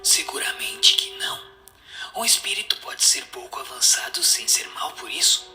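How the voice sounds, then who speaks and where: A man's voice: medium pitch, very animated, moderate pace, sharp tone. A man with a Brazilian accent giving answers in a solemn scripted, dramatized reading. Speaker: reader of the answers. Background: music.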